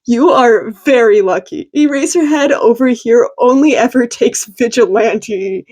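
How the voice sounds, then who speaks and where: Woman acting out friendly masked man, casual, teasing, chatty, slow story-reading delivery. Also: on the high side, darkish tone.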